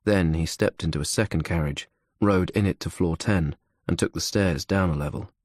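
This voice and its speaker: A man's voice: low voice, moderate pace, no echo, clean studio sound. A man reading scripted thriller narration as an audiobook narrator.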